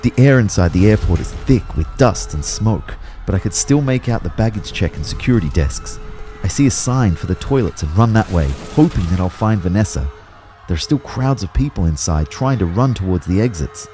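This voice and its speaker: A man's voice: lowish voice, lively intonation, a little fast, dark tone, faint music behind, lightly acted. A man acting a dazed young man who narrates a disaster in the first person, as dramatic scripted storytelling. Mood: tense, reflective.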